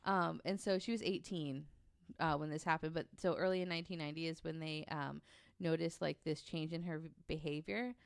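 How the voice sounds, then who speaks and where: A woman's voice: deep voice, steady tone, brisk, gravelly, smooth, no echo, studio quality. A woman telling a researched story on a podcast in a casual, conversational way, with an informal, hesitant delivery.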